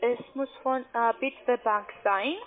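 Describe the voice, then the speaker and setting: A non-native woman with a foreign accent, instructing a customer haltingly as a call centre agent. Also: a little high, fairly even intonation. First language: not German. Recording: tinny narrow-band phone line.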